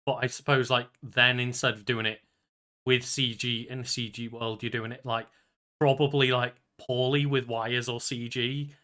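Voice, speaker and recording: A man's voice: mid-range voice, little pitch movement, normal speed, bright, forceful tone. A man co-hosting a podcast, talking informally and conversationally. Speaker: podcast co-host. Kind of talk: casual podcast chat.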